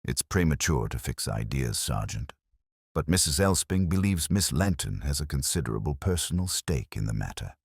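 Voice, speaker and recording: Man voicing a deep, courteous police inspector. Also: very animated, slightly rough, no room sound, studio quality, exaggerated voice. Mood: measured caution. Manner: polite, measured, understated questioning. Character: mild, courteous, shrewd male police inspector.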